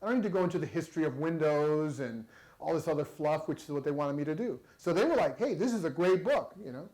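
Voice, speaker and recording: A man's voice: on the high side, lively intonation, fast talker, a little mellow, low-quality recording. A man giving a conversational workshop talk to an audience, chatty and repeating words as he goes. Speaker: presenter.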